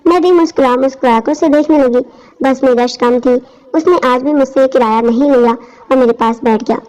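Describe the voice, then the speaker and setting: A woman's voice: high voice, fairly quick, a little mellow. A woman reading a scripted first-person story as its narrator. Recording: dull lo-fi audio.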